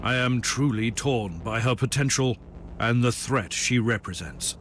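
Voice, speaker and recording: A man's voice: medium pitch, measured pace, noisy background, close to an everyday voice. A man playing a stern, ruthless human inquisitor in a video game, with a blunt, authoritative delivery. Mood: resolute, wary. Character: stern, commanding male human Inquisitor psyker, ruthless investigator. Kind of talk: dramatic video game acting.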